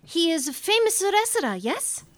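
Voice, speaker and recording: Young female warrior, extremely high voice, dry close sound, broken English, earnest, radio drama. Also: big pitch swings, measured pace, no echo, exaggerated voice. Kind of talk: audio drama acting.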